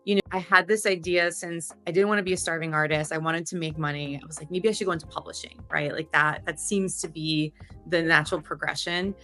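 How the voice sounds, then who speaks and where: Guest, woman, 30s, low voice, chatty and animated storyteller. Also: fairly quick, soft background music. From Delaware, US. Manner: chatty, animated, loose restarts. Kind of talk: casual interview storytelling.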